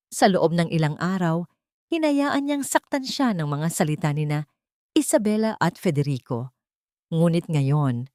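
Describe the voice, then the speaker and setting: A woman reading a dramatic scripted audio story in a solemn, deliberate way. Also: on the low side, darkish tone, dry recording, clean studio sound. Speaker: story narrator.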